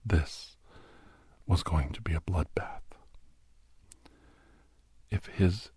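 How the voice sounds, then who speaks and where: A man acts as a grave, war-worn doctor narrating horror in an ASMR audio drama. His voice is deep and very husky, often sinking toward a whisper. He speaks slowly and haltingly, close and dry, like someone haunted by what he has seen. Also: no room sound, heavily acted. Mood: fearful, haunted. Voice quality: breathy, husky. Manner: slow, halting, hushed, often slipping into whisper.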